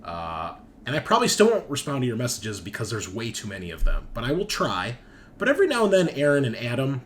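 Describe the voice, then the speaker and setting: A man with a fairly low voice hosts a tabletop gaming show. He runs through announcements in a casual, joking and profane way. There is noticeable noise behind him. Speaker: host and game master of a tabletop gaming show.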